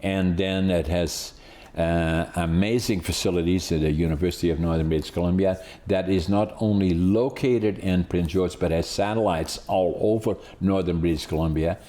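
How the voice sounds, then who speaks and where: A man's voice: sits low, steady tone, moderate pace, warm tone. A man in his eighties from the Netherlands with a Dutch accent, hosting a podcast and telling his story in a rambling way with many restarts. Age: 80s. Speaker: podcast host. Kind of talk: casual interview chat.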